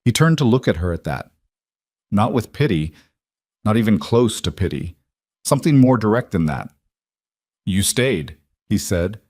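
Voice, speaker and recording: A man reading a dramatic western story as scripted narration, measured and deliberate in his delivery. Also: lowish voice, sing-song, darkish tone, no echo, clean studio sound. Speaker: audiobook-style story narrator.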